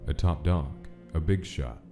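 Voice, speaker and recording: A man's voice: very deep voice, a little slow, warm tone, faint music behind. A man reading scripted narration of a gritty gangster-era story in a comic dub. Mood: brooding, measured.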